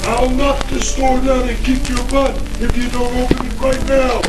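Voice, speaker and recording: A man's voice: very high voice, completely flat delivery, moderate pace. An actor playing a blustering, threatening tough guy in a 1940s radio drama parody. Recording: crackly old radio static, music behind.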